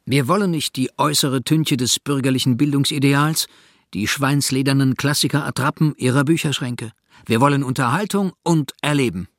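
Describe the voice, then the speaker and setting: A man's voice: mid-range voice, normal speed, no room sound, clean studio sound, lightly acted. Emphatic proletarian orator voiced by a man. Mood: combative. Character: defiant proletarian radio movement orator. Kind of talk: declaimed manifesto quotation.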